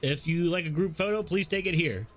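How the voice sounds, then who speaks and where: A man's voice: a little high, fairly quick, fairly bright tone, pitched above the performer's own voice, natural voice. A man voicing a chirpy, polite little game creature that announces things in a singsong way.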